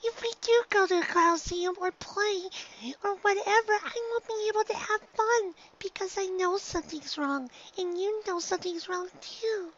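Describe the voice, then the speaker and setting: An earnest little cartoon pony girl, speaks in a tiny, extremely high voice. She sounds anxious and plaintive, full of questions, in a storybook reading with dull, lo-fi sound. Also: moderate pace, hardly any pauses, fairly bright tone, slight background noise. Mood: worried, pleading. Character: earnest, anxious little unicorn filly daughter. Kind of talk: fan fiction audiobook character voice.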